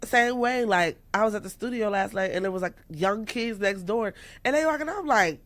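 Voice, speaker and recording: A woman just turned thirty, talking as a guest on a podcast about her music. She laughs easily and jumps into animated voices when she quotes herself or others, her pitch sitting in an ordinary middle range. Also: brisk. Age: 30s. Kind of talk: casual podcast interview.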